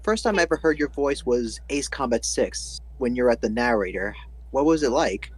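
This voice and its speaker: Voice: medium pitch, animated, normal speed, husky, dry recording. A fan asking a question on a live stream, apologetic and hesitant at first.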